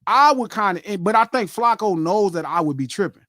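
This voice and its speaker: A man's voice: sits high, fairly quick, no echo, studio quality. A man from Los Angeles with a local accent, hosting a casual podcast chat and swearing freely. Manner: animated, swears freely, acts out quotes. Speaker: podcast host.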